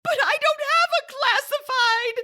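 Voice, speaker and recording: A woman's voice: extremely high pitch, a little slow, pushed and bright, heavily acted. A woman playing a frantic, demanding, nervous wife in a melodramatic radio thriller, imperious and theatrical. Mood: hysterical, pleading. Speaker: actor in a volunteer radio drama.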